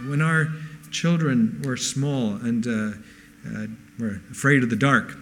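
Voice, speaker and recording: A man's voice: medium pitch, slight background noise. A man born in Spain with a mixed international accent, preaching to a congregation with frequent rhetorical questions. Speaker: pastor. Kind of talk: sermon. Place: church service.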